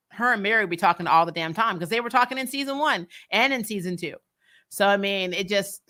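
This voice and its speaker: A woman's voice: mid-range voice, bright, forceful tone. A woman hosting a TV recap, chatting casually and bluntly with strong, exasperated, sweary opinions. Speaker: host of a TV recap show. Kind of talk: casual opinionated fan commentary, replying to live comments.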